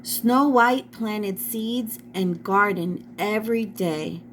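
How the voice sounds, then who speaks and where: A woman's voice: medium pitch, slow pace, fairly bright tone, slight background noise. A woman reading a story aloud to children, addressing her young listeners directly like a teacher.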